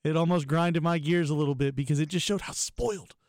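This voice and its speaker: A man's voice: fairly high pitch, fairly quick, a little mellow, no room sound, clean studio sound. A man hosting a casual sports podcast, talking in a playful, teasing and enthusiastic way. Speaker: podcast host.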